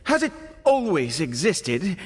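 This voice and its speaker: An actor playing a weary, anxious middle-aged father in a video game, gentle and frail, speaking haltingly with stammers and sighs. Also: sits high, big pitch swings, slightly rough, dry recording, full character voice. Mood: uneasy, pondering. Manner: halting, hesitant, stammering, sighing.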